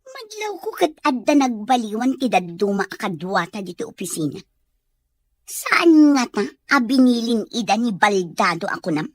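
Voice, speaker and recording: An actress plays a confrontational, suspicious female office worker in an Ilocano radio drama. Her voice is fairly high and very animated. The accent is Filipino, and the recording is dry. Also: normal speed, no room sound. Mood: suspicious, threatening.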